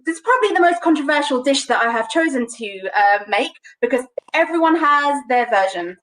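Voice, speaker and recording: Young London woman hosting a home cooking stream; casual and chatty, higher voice, set back from the webcam. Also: expressive, brisk, a bit bright, a little hiss or hum. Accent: London English. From London, England. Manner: chatty, many ums, light laughs. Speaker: cooking show presenter. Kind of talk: casual live cooking demo. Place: home kitchen, indoors. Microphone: webcam on a video call. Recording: distant webcam mic in kitchen.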